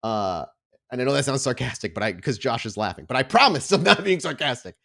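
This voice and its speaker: A man's voice: medium pitch, sing-song, brisk, vocal fry, a bit bright. Casual male podcast host and storyteller. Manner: informal, chatty, swears casually. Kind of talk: casual podcast intro, then improvised tabletop game narration.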